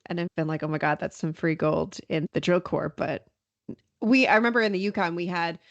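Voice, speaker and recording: A woman hosting a podcast, chatting casually in an informal, expressive way. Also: lowish voice, fairly quick, creaky, no echo. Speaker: podcast host. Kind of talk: casual conversational chat.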